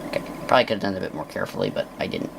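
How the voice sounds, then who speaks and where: A man with a somewhat deep voice talks through a hands-on task as he does it. His delivery is casual and halting, muttered in an unscripted way. The amateur recording has a clearly audible rumbling noise behind him. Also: expressive. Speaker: presenter of a hands-on science demonstration. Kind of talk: unscripted hands-on demonstration commentary.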